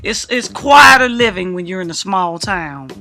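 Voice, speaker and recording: Bright-voiced woman hosting radio interview. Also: mid-range voice, animated, normal speed, faint music behind. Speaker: radio show host, interviewer.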